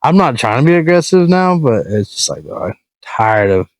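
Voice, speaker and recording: A man's voice: mid-range voice, very animated, normal speed. Profane laid-back Californian man. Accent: slight Southern drawl. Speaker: podcast co-host. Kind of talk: casual chat.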